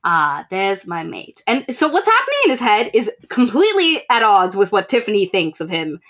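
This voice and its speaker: A young woman co-hosting a casual book podcast, retelling stories in an animated, expressive way with acted-out asides. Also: medium pitch, moderate pace. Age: young adult. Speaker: podcast co-host. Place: small room with bookshelves, video call.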